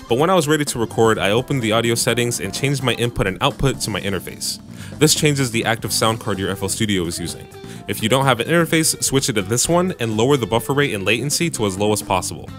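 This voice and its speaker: A man with a bassy voice casually narrating a home recording tutorial, with joking asides, on a studio mic in his home room. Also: expressive, fast, over music. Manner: laid-back, joking asides. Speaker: music producer and YouTube tutorial host. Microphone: studio microphone through audio interface.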